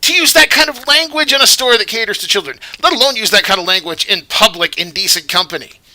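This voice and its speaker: A male vlogger with a very bright, sharp voice rambles through his commentary. His tone is indignant and moralizing, and his voice sits a little high. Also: animated, fast talker, poor audio. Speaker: vlogger host. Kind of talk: commentary vlog.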